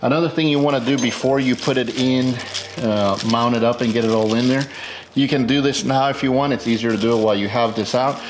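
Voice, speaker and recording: A man's voice: mid-range voice, steady tone, fairly quick, background noise. A man hosting a casual how-to video, thinking aloud informally as he works through an installation. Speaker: host of a car modification tutorial. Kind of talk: casual hands-on how-to narration while working.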